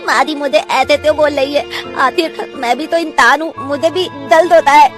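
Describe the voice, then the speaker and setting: A woman's voice: high voice, steady tone, normal speed, music in the background, close to an everyday voice. A woman voicing a naive young bride who lisps and hesitates, in a cartoon story. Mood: hurt. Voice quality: lisping, childlike speech. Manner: hesitant, with fillers. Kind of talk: cartoon voice acting.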